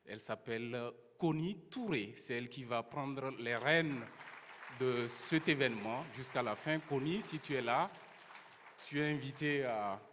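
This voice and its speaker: A man's voice: medium pitch, measured pace, fairly bright tone, a little hiss or hum. A man with a mid-range voice handing over the stage in a courteous, welcoming tone. His words echo through a large hall, with a faint murmur of the audience behind him. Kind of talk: formal announcement. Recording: hall reverb with crowd.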